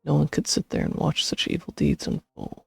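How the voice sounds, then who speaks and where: A man streaming a video game, casually commenting and reading text aloud in an offhand, gravelly, husky voice. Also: brisk, no room sound, clean studio sound. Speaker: streamer playing and reacting. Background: faint game music.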